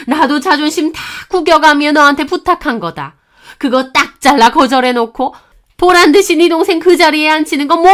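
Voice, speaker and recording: Woman doing an outraged middle-aged mom-in-law, high and loud, scolding, entitled, one accusing question after another, story narration style. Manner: shouting, accusatory, firing rhetorical questions. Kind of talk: dramatized storytelling.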